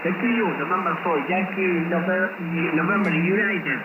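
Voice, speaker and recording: A man speaking halting, non-native English as an amateur radio operator, politely trading call signs and signal reports in short repeated phrases. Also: high voice. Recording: noisy, echoey shortwave radio reception. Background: heavy radio static.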